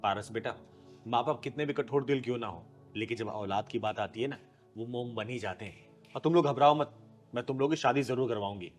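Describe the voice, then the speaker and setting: A man's voice: medium pitch, brisk, lightly acted. A man acts a kinnar elder sister in a web moral drama. The figure is bold and protective, speaking with blunt authority, with music underneath. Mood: reassuring. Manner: authoritative, blunt, addresses others as children. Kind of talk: Hindi moral drama acting.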